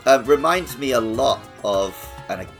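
A man's voice: mid-range voice, animated, moderate pace, vocal fry, fairly bright tone. A man co-hosting a podcast in casual, enthusiastic gaming discussion. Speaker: podcast host. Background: background music.

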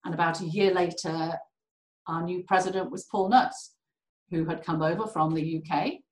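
A British woman telling candid stories in an interview, animated and quick to laugh. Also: on the low side, roomy sound, poor audio. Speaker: core facility director. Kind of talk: candid conversational storytelling.

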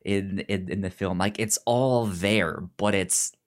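A podcast co-host chatting casually about film, speaking haltingly with repeated words and frequent restarts. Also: sits low.

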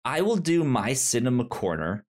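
A man hosting a casual podcast, speaking in a halting way and often repeating the starts of words. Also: medium pitch, very animated, a little mellow. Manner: halting, repeats word beginnings. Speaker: podcast host.

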